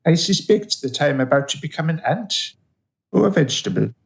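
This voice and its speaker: A man's voice: a little high, expressive, moderate pace, gravelly. An actor playing a dead, unrepentant killer in a radio play, matter-of-fact and darkly relishing his past. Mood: wary, matter-of-fact. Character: dead male killer, coolly unrepentant, facing reincarnation.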